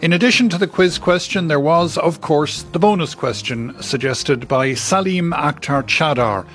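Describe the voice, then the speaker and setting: A man's voice: on the high side, normal speed, a bit bright, soft background music. A man co-presenting a radio programme, reading scripted segments in a studio. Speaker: radio co-host.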